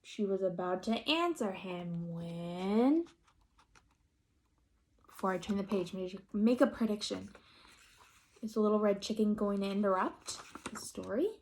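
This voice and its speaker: A woman's voice: medium pitch, a little slow, pauses often. A woman reading a picture book aloud expressively, with questioning asides to young listeners. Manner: expressive, addresses children with questions. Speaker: read-aloud storyteller.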